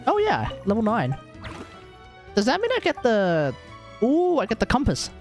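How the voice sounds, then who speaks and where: A man's voice: extremely high pitch, wildly swooping pitch, moderate pace, faint music behind. An Australian man giving casual, rambling game commentary on a livestream, often talking to himself.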